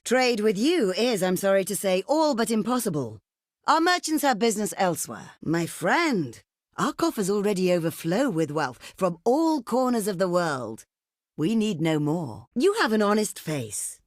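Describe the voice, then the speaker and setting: A woman's voice: mid-range voice, dry recording, studio quality. An actress playing a proud, imperious ancient desert queen in a video game, speaking in formal, theatrical and haughty phrases. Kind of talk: video game diplomacy voice lines.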